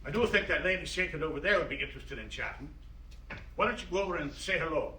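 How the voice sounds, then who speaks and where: A man doing a curt, bright-voiced bartender. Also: on the high side, animated, fast. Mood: curt, dismissive. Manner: gruff, dismissive. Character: curt, unsociable male bartender of an old bar. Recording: roomy, distant mic, audible noise.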